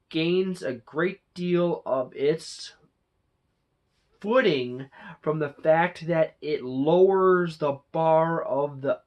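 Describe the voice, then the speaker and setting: A reviewer hosts a channel with a casual, unscripted monologue. The voice sits at a middling pitch. The delivery is halting and rambling, with frequent self-corrections along the way. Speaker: movie reviewer and channel host.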